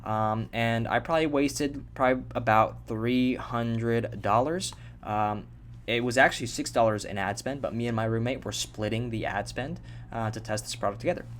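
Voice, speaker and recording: A man's voice: mid-range voice, moderate pace, a little hiss or hum. A young man from Japan telling his own story in a loose, rambling vlog, with plenty of fillers and the odd swear. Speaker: vlogger.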